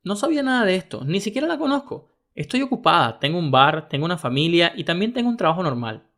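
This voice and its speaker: Guy reading reddit stories real fast, kinda high voice, brisk and even. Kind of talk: scripted story narration.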